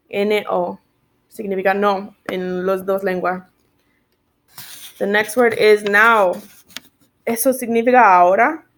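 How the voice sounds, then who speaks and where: Slow, spelling woman switching Spanish and English. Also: mid-range voice. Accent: Spanish-speaking learner of English. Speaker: teacher of English sight words. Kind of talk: bilingual spelling lesson.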